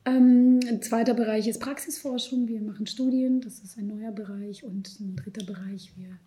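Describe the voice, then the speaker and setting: Thoughtful middle-aged woman with a mellow voice. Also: a little high, fairly even intonation, moderate pace, a little hiss or hum. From born in Ukraine, raised in Israel. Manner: thoughtful, hesitant with frequent fillers and soft trailing asides. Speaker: psychologist and panel guest. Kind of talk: panel discussion.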